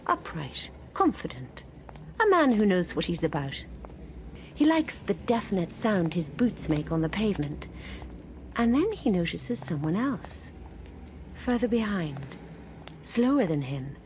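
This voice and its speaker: A woman narrating a radio drama in a calm, measured, slightly wry voice. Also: medium pitch, slight background noise.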